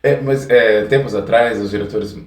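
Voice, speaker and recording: A man's voice: medium pitch, steady tone, normal speed. Brazilian man interviewing, distant and echoey. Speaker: podcast interviewer. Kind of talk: casual interview chat. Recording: echoey room, distant from the mic.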